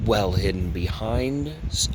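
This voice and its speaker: A man's voice: fairly low pitch, animated, slow. A man narrating a horror story in an amateur style, on a noisy home recording.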